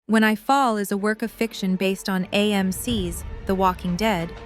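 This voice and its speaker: Woman narrator with a medium-pitched voice. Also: steady tone, moderate pace, faint music behind. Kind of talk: scripted story narration.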